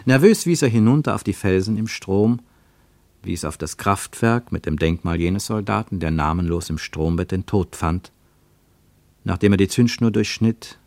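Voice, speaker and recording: Male narrator, lowish voice, measured descriptive radio drama reading, dry studio. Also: animated, a little mellow, no room sound. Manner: measured, descriptive, building long lists.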